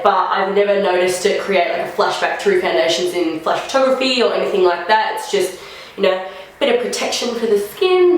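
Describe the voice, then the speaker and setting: A young woman vlogger chatting casually through a makeup try-on, sounding a bit nasal from a flu and filling her talk with "like". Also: medium pitch. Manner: chatty, rambling, lots of "like" fillers. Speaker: beauty vlogger. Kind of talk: casual makeup first-impressions chat. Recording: very echoey room.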